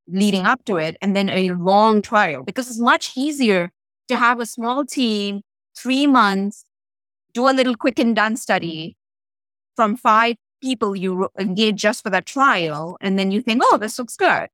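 A woman physician talking in an interview, quick and earnest, with many fillers. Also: medium pitch, a bit bright, no echo. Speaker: physician founder guest. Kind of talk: interview talk.

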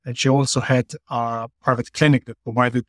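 A man's voice: mid-range voice, level tone, normal speed, a bit bright, dry recording, studio quality. A man from Israel, a company founder, explaining his work earnestly with many "uh" fillers over a remote call. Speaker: company CEO guest. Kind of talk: podcast interview.

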